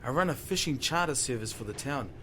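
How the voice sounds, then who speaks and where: A man's voice: medium pitch, animated, fast talker, bright, forceful tone. A man playing an indignant, bewildered fishing boat skipper with blunt, colloquial speech in an audio story. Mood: insistent, bewildered. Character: indignant, bewildered middle-aged male fishing charter skipper. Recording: strong background noise.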